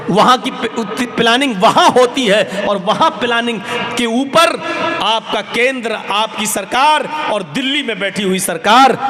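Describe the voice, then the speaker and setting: A man preaching an impassioned public sermon in an oratorical way, with emphatic repetition and rhetorical questions. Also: extremely high pitch. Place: large public gathering, over a PA system. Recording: echoey loudspeaker hall, crowd behind.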